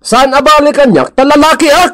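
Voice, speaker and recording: A man's voice: very high voice, a little fast, a bit bright, a little hiss or hum. A man with a northern Philippine accent playing a quarrelsome, jealous husband, scolding and scornful in a comedy radio drama. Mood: accusing.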